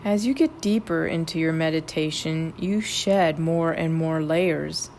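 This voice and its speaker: A woman with a fairly low voice leads a guided meditation very slowly. Her delivery is gentle and measured, giving instructions step by step. There is some faint noise behind her.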